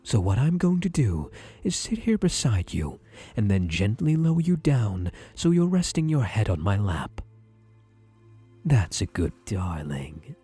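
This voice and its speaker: Low, breathy possessive therapist played by a man. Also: sing-song, faint music behind, exaggerated voice. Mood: tender, doting. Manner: soothing, deliberate, hushed, drops into breathy murmurs. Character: possessive male therapist, softly controlling, doting and obsessive. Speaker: ASMR roleplay performer. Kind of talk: ASMR roleplay, scripted.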